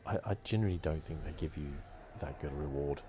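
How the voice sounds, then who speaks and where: A man giving relaxed, rambling ASMR commentary on a video game playthrough. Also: very deep voice, quick pace, slight background noise. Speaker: gaming channel host. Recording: clear game ambience behind close commentary. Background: video game sounds.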